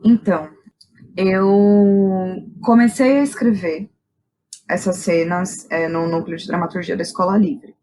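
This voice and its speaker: A woman's voice: fairly low pitch, steady tone, a little slow, dark tone, slight background noise, low-quality recording. A woman with a Brazilian accent speaks as an interview guest, a playwright and director thinking aloud and often checking in with short tag questions. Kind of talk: reflective conversational interview.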